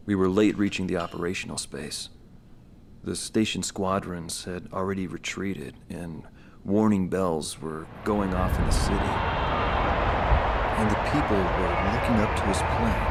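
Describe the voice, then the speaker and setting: Low-voiced, haunted former fighter pilot. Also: male voice, fairly bright tone, a little hiss or hum. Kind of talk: documentary interview acting.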